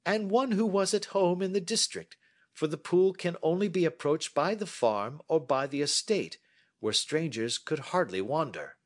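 A man's voice: on the high side, expressive, normal speed, voice pushed up. A man reading as a brilliant, self-assured Victorian detective who explains his deductions precisely. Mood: lecturing.

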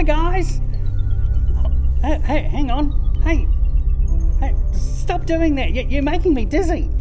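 A woman doing an excitable young striped fish. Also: high voice, voice pushed up, heavily acted. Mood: curious. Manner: excitable, easily baffled. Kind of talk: children's puppet show.

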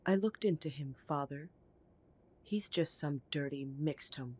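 A woman's voice: sits low, measured pace, darkish tone, breathy edge, dry recording. A woman playing a snobbish young werewolf heiress in an audio drama, with a prim, cutting, disdainful way of talking. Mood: scornful, accusing. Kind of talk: audio roleplay drama acting.

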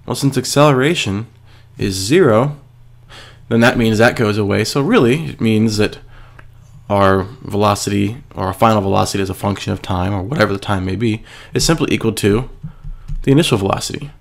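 Male teacher, stuffy with a cold, low and gravelly, patient and methodical. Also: a little fast. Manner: patient, step-by-step, asks and answers himself. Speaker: physics teacher. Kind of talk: screencast lesson explaining a worksheet.

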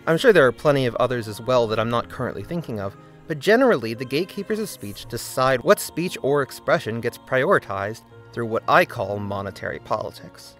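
A man narrates a scripted essay in a measured, deliberate manner. His voice sits at an ordinary middle pitch. Soft music plays faintly underneath. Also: animated.

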